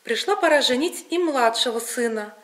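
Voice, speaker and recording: A woman storyteller reading a scripted folk tale in an expressive, deliberate way. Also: a little high.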